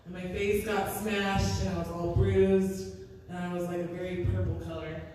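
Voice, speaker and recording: A woman in her thirties with a raspy voice, a singer-songwriter chatting on stage between songs in a live music venue, rambling and self-deprecating. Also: on the low side, level tone, moderate pace, mellow, a little hiss or hum. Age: 30s. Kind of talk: casual stage banter. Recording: echoey room, mic from a distance.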